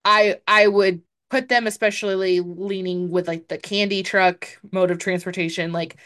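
A young adult woman with a deep voice for a woman, co-hosting a podcast in chatty casual talk full of fillers. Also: steady tone, moderate pace, a bit bright. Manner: chatty, hedging with many fillers. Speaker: podcast co-host. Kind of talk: casual chat.

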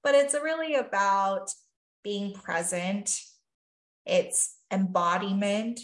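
Slow, earnest woman from Taiwan. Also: mid-range voice, echoey room, poor audio. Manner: earnest, thoughtful, many "you know" and "really". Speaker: guest, physician and life coach. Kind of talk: reflective podcast conversation.

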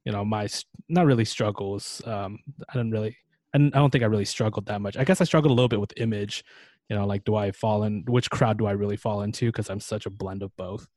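A young American man hosting a casual podcast talk, relaxed, with lots of fillers and restarts. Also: fairly low pitch, fast talker, dry recording. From United States.

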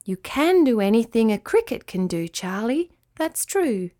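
A woman voicing a kindly, proud female spider in a children's story, quiet and whispery, explaining things gently and patiently. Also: a little high, very animated, a little slow. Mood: matter-of-fact.

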